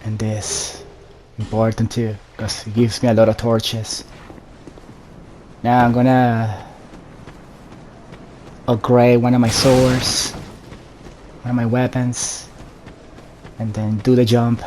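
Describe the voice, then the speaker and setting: A man's voice: mid-range voice, little pitch movement, takes it slowly, pauses often, darkish tone, a little hiss or hum. A man giving casual solo gameplay commentary, addressing viewers directly with instructions as he plays. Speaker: gaming video host.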